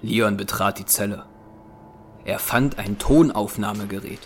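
A man's voice: lowish voice, measured pace, a little hiss or hum. A man narrating an audio drama from a script as its storyteller. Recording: prominent music behind narration.

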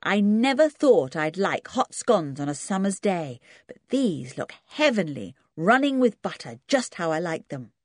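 A woman voicing a bold tomboy girl with a brisk, defiant manner. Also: fairly low pitch, big pitch swings, no echo, studio quality. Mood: delighted.

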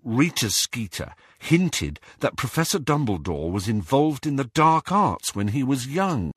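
A man's voice: mid-range voice, big pitch swings, a little slow, husky, dry recording, studio quality. A man voicing an earnest teenage boy wizard in an audiobook. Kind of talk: audiobook character voice.

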